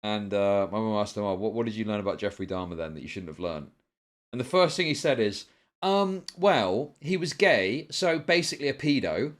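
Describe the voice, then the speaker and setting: A middle-aged man with a British accent hosting a livestream, chatting casually and telling stories in a rambling, sweary, animated way. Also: lowish voice, a little fast. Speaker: livestream host. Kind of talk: casual chat to a livestream audience.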